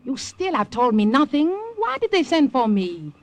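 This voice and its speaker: A woman's voice: high-pitched, sing-song, normal speed. A woman acting a weary, proud, skeptical Frenchwoman in old-time radio drama, theatrical and languid. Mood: dry, skeptical.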